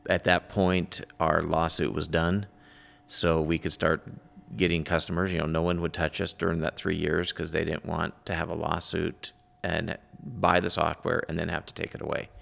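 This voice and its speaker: Male interview guest, middle-aged, thick vocal fry, plainspoken recollections, recorded with long room reverb. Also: very deep voice, a bit bright. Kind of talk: casual interview answers, personal recollection.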